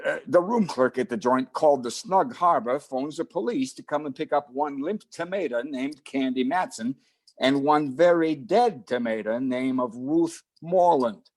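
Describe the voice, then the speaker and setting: A man's voice: medium pitch, moderate pace, a little mellow, lightly acted. A man playing an exasperated but fond police detective in an old-time radio drama, talking in hectoring slang. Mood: questioning. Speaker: supporting actor in community theatre radio recreation.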